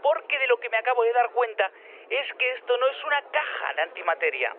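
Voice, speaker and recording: Scatterbrained inventor, audio drama: eager, rapid, swooping delivery, stammering and repetitive, thin phone sound. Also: male voice, extremely high pitch, big pitch swings, slight background noise. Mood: excited explaining. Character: excitable, scatterbrained male inventor, eager and rambling. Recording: narrow phone-like radio link.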